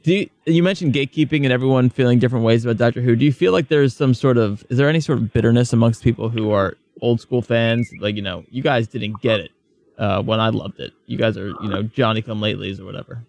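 A man hosts a casual interview chat. He talks very quickly at an ordinary male pitch, and the sound is dry with little room in it. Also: creaky, darkish tone, dry recording. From United States. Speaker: podcast host.

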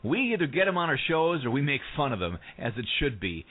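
A man's voice: mid-range voice, big pitch swings, a little fast. A man hosting a radio show, reading a wry promotional spot. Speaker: radio host. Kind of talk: promo read. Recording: tinny phone-like audio.

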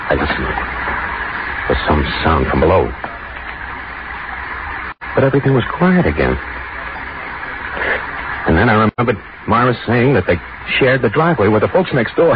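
An actor playing a frightened, reluctant killer who narrates in a tense, halting, brooding way in a 1940s radio drama. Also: male voice, low voice. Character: frightened reluctant man lying in wait to kill. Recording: noisy, muffled 1940s radio recording.

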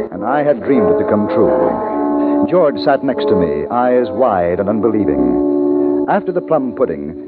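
An actor playing a grown man wistfully recalling his boyhood, narrating a 1950s radio drama in literary storytelling style. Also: mid-range voice. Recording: tinny old radio recording, loud music behind. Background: loud music.

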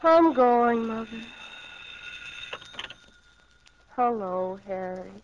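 A woman's voice: a little high, lively intonation, measured pace, slight background noise. An actress playing an earnest, lovesick young woman in a 1940s radio melodrama. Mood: downcast. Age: young adult. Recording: dull old radio broadcast.

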